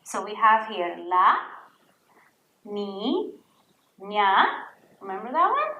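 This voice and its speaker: A woman from Puerto Rico with a Puerto Rican accent, teaching a slow children's Spanish lesson and modeling sounds for students to repeat. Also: medium pitch, very animated, pushed and bright, roomy sound, highs cut off, slight background noise.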